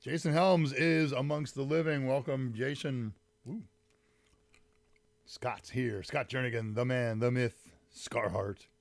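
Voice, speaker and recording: A man's voice: mid-range voice, steady tone, slow pace, no room sound. Man hosting a tech livestream with a cold. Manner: rambling, greets chat viewers by name, frequent fillers. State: slightly deep, congested voice from a summer cold. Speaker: livestream host. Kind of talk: casual live-stream chat with viewers. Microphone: mic set some distance away.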